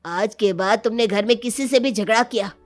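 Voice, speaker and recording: A woman's voice: fairly high pitch, moderate pace, faint music behind, close to an everyday voice. A woman voicing an angry young husband with a stern, threatening manner in a cartoon family story.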